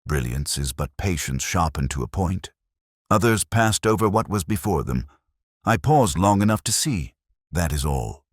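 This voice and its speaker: A man voicing a cool, detached Victorian detective in an audiobook, speaking formally and quietly in aphorisms. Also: sits low, expressive, normal speed, slightly rough, dry recording, clean studio sound. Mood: calm, assured. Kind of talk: audiobook character dialogue.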